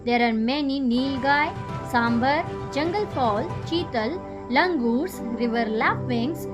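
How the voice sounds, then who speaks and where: A woman's voice: high voice, slow. A woman with an Indian accent presenting a puppet show as a guide, reading scripted educational narration. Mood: eager, informative. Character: puppet guide named Arya presenting Bihar. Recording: music behind the voice.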